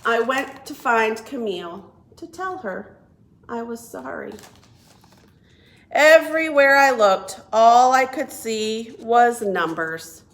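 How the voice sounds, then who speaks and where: A woman reading a picture book aloud to young children as a children's librarian, expressive and deliberate. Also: on the high side. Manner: expressive, deliberate, asks listeners questions. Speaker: children's librarian and storytime reader.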